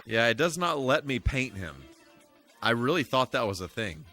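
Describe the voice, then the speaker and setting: A man's voice: medium pitch, very animated, moderate pace, sharp tone. A man live-streaming, talking in a casual, rambling and conversational way. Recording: music playing behind the voice. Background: music.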